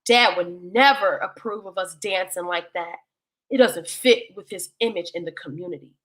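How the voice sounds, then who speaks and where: A woman's voice: fairly low pitch, moderate pace, fairly bright tone, voice pushed down, close to an everyday voice, poor audio. A woman voicing cheeky, defensive young brothers in a storybook, whiny and taunting.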